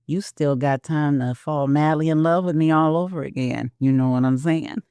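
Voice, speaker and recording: Narrator as devoted middle-aged husband in an audio drama: boyish, playful, tender, gently coaxing, dry close sound. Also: mid-range voice, moderate pace, smooth, no echo, natural voice. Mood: playful, proud.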